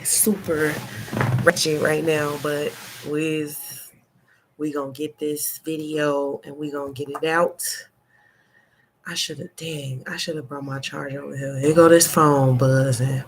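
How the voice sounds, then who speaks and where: Congested, nasal woman chatting slowly. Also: low-pitched, steady tone, a little hiss or hum, rough recording. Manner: chatty, rambling, frequent "okay?" check-ins. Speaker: laundry pickup and delivery business owner. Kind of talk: casual story-time vlog.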